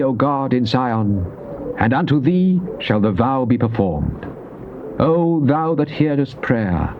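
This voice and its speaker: A man acts a devout psalmist in a dramatized Bible recording, praying fervently. He declaims solemnly in measured phrases. The old cassette sound is dull, with a faint hiss behind him. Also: mid-range voice, lightly acted. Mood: reverent. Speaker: scripture reader. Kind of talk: dramatized King James Bible reading.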